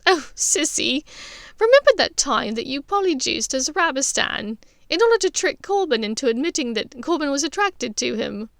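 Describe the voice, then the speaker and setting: A mischievous witch aunt in an audiobook fantasy, performed by a woman. She is high-voiced, exclamatory and full of teasing glee, recorded close and dry. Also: very animated, moderate pace, creaky, few pauses, a bit bright, no echo, full character voice. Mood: gleeful.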